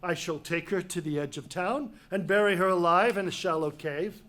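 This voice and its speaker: A man's voice: high-pitched, normal speed, fairly bright tone, slight background noise. An actor playing a stubborn, hot-tempered, commanding king in a dramatic reading of Greek tragedy. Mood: cold, measured.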